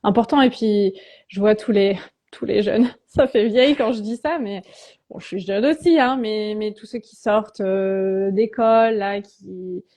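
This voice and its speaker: This is a woman in her thirties with a warm, mellow voice, speaking as a guest coach in a live-stream chat. She thinks aloud, stopping and restarting her phrases as she goes. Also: medium pitch. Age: 30s. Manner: reflective, halting, many restarts and fillers. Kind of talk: casual live-stream conversation.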